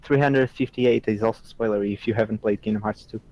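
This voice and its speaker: A man's voice: on the low side, fairly even intonation, fast, muffled recording. A man casually adding details in a conversation about game lore.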